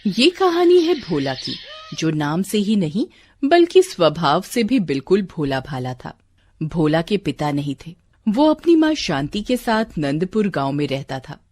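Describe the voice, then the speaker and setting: Low-voiced woman narrating a story. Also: big pitch swings, unhurried, a little mellow. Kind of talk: scripted moral-story narration.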